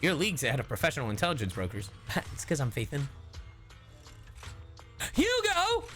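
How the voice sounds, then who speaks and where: Very animated male streamer, playful. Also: a little high, normal speed. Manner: playful, animated, breaks into sing-song sounds and mimicry. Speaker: livestreaming gamer. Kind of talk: casual live game commentary. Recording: faint game music behind.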